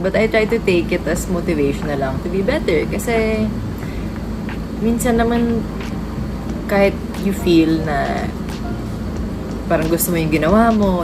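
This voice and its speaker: A woman's voice: lowish voice, poor audio. A young woman with a Filipino accent, an actress chatting casually as she reads out comments, laughing often and mixing English with Tagalog. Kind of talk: casual chat. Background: loud background music.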